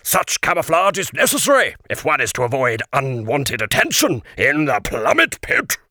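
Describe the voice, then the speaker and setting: A man voicing a gallant, one-armed suit of armour who speaks in a grand, courtly way, with a husky sound. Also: fairly high pitch, huge pitch swings, moderate pace, bright, forceful tone, no room sound, studio quality, voice pushed up, full character voice. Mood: courtly, grim. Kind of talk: audiobook character voice.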